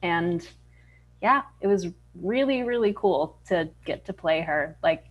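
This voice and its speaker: Unhurried woman answering interview questions. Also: lowish voice, lively intonation, dull audio. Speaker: voice actress being interviewed. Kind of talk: casual interview chat. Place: indoors on a group video call. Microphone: video call.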